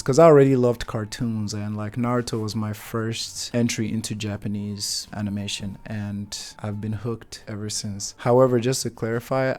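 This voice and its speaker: Chill young guy from nigeria doing a q&a for his channel, lowish voice, easygoing and rambly. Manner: relaxed, chatty, laughs and rambles a bit. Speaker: YouTube channel host, painter. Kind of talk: casual Q&A chat to camera.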